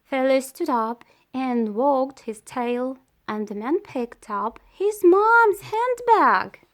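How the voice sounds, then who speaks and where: A woman reading a children's story aloud in a deliberate way, pausing between phrases. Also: high voice, expressive. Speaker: storybook reader.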